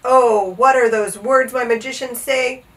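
A woman's voice: fairly high pitch, fairly even intonation, unhurried, a bit bright, recorded from a distance. A woman voicing a proud old king in a storybook, with a pompous, blustering manner. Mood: groaning, flustered. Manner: pompous, commanding, groaning and bellowing. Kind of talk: storybook character voice.

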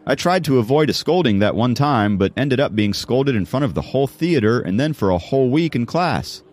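Man acting a lively, proud teenage schoolboy. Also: medium pitch, brisk, voice pushed up, lightly acted. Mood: amused storytelling. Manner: wry, boastful. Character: teenage schoolboy runner, proud, wry, sarcastic. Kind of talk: audiobook character voice.

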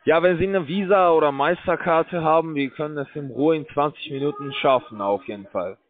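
A man calling from a scam call center, speaking broken full of fillers as he pushes his pitch. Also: brisk, dry recording. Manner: broken German, filler-heavy, pushy salesman. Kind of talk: phone call. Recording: tinny phone line.

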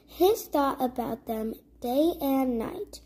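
A young child reads a rhyming storybook aloud in a high voice. The pace is slow, with careful word-by-word phrasing, like a host at story time for little ones. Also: expressive, a little mellow. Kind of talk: read-aloud of a children's rhyming picture book.

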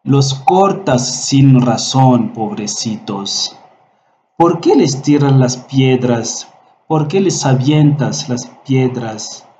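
Slow-spoken Congolese-born professor, mellow tone. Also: male voice, mid-range voice, animated, echoey room, dull audio, a little hiss or hum. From Democratic Republic of the Congo. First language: Swahili. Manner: slow, hesitant speech with frequent fillers. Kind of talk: introducing and reciting a poem. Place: small indoor room at a desk.

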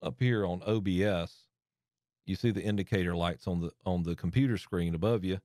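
A man in his thirties with a lowish voice walks through audio gear settings in a relaxed, chatty way, breaking off and restarting phrases as he turns knobs. He speaks into a close studio microphone at a home desk, and the sound is dry and up front. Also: normal speed, no echo. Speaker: audio gear tutorial host. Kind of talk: casual hands-on demonstration. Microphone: studio mic on arm close to face.

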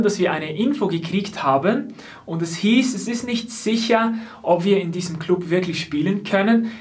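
Higher-voiced young man recounting calmly. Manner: calm, explanatory, soft laughs between phrases. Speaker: drummer and vlogger. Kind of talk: seated piece-to-camera storytelling. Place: small indoor set with lamp and painted backdrop. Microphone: fixed camera, seated framing.

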